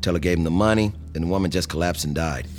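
A man's voice: low-pitched, quick pace, a little hiss or hum, lightly acted. An actor playing a male police officer who briefs his partner in a clipped, matter-of-fact way. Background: music.

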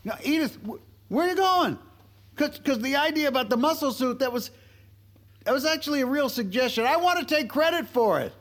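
A man's voice: very high-pitched, lively intonation, a little fast, a bit bright. An actor playing a flustered, needy, credit-seeking man in an audio drama, stammering and rambling.

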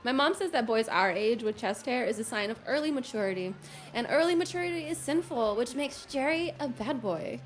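A woman's voice: on the high side, expressive, fairly quick, faint music behind, natural voice. An actress playing a gossipy, prim know-it-all teenage girl in an audio drama. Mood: gossipy, teasing. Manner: teasing, matter-of-fact.

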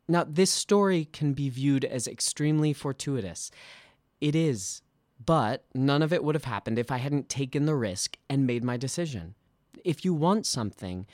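A man who works as a stage actor reads his own scripted personal essay with polished, deliberate delivery. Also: medium pitch, darkish tone, dry recording, studio quality. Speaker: musical theatre actor.

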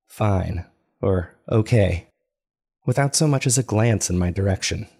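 A man playing a troubled podcast host and family man in an audio drama, confiding in a measured, reflective, gravelly voice. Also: fairly low pitch, darkish tone. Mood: wry, confiding. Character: troubled podcast host, a married father searching for his parents. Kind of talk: confessional first-person audio drama narration.

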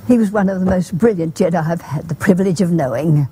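An actress playing an elderly Jedi archivist in a film, prim and wistful, speaking in a measured, genteel, formal way. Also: female voice, on the low side, dark tone, breathy edge. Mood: wistful, admiring. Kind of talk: film drama dialogue. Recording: film clip with noisy ambience.

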